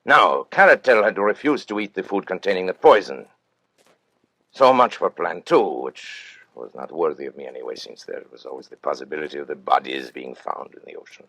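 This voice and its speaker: An actor playing a suave, vain, cold-blooded master criminal, speaking with pompous, precise courtesy in old-time radio suspense style. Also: male voice, low-pitched, lively intonation, brisk, vocal fry, bright, forceful tone, breathy edge, dry recording, heavily acted. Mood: coolly recounting.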